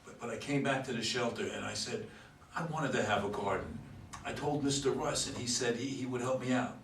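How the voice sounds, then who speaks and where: A man in a stage play reading, playing a flustered, evasive homeless man with a halting, stammering delivery. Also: medium pitch, gravelly, a bit bright. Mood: wistful. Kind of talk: stage play read aloud by readers' theater. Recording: echoey room, distant mic, faint noise.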